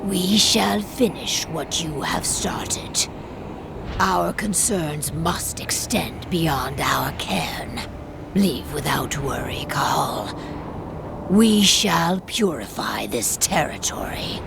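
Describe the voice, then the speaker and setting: A woman voicing a solemn, proud female werewolf pack leader, speaking formally and gravely in a video game cutscene. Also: low voice, lively intonation, background noise, exaggerated voice. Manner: slow, formal, weighty delivery. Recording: game music behind.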